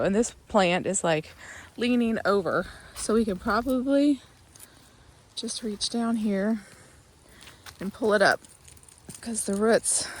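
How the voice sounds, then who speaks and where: A woman, slightly raspy from a sore throat, chatting casually through a garden vlog while filming outdoors on her phone. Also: mid-range voice, slight background noise. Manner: chatty, rambling with many ums. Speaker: vlogger, gardening channel host. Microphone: phone held in hand.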